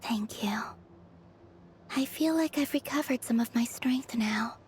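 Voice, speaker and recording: An actress playing a calm, gentle, otherworldly young woman in a fantasy game, speaking politely and softly. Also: a little high, measured pace, slight background noise, close to an everyday voice. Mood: gentle, resolved.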